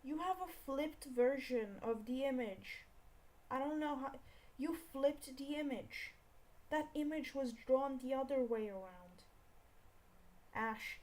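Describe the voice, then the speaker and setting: A young woman with a Scottish accent chatting casually on an art livestream, rambling and scolding herself out loud in exasperation. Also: sits high, low-quality recording. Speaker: art streamer. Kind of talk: casual livestream chat while drawing.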